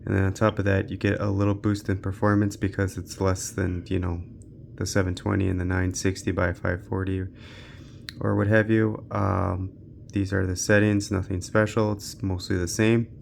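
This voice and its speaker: Low, gravelly-voiced man casually narrating gameplay. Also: flat delivery, normal speed, slight background noise. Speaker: gaming channel host. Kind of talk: casual unscripted gameplay commentary.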